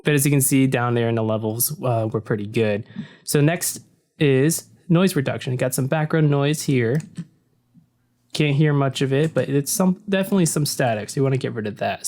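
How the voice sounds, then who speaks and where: A man with a deep voice narrating a software tutorial in a relaxed, casual way, recorded at a desk in a room without soundproofing. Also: normal speed. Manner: relaxed and explanatory, with frequent "so" and "uh". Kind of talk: casual screen-recording walkthrough. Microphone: desk mic facing the computer screen.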